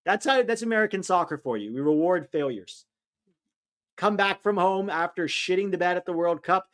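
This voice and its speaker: A man's voice: sits high, a bit bright. A man co-hosting a live soccer watch-along, chatting casually and venting blunt opinions, with a mixed, hard-to-place accent. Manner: blunt, opinionated, quick to vent frustration. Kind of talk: casual live-stream match commentary and chat.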